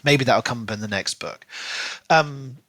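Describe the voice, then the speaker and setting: A man's voice: mid-range voice, a little slow, no room sound. A man co-hosting a casual book discussion podcast, acting out imagined dialogue as he goes. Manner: animated retelling, acts out imagined dialogue in asides. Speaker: podcast co-host.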